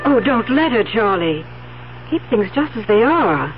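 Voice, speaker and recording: A woman's voice: fairly high pitch, big pitch swings, unhurried. An actress playing a greedy, pushy female accomplice in a 1970s radio drama. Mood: urging. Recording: muffled vintage radio broadcast with music.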